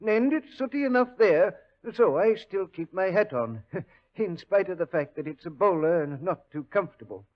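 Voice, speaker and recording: Station master, middle-aged, thick speech, high voice, old radio drama. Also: male voice, lively intonation, normal speed. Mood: earnest. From Sussex. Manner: thick-voiced, plain-spoken, flustered. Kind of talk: 1940s radio acting. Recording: dull old radio broadcast.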